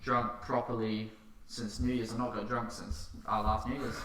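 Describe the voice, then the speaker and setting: A man's voice: on the low side, little pitch movement, normal speed, fairly bright tone. A young man telling stories in casual, matey podcast chat as a guest. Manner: informal, matey storytelling. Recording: roomy sound with clear noise.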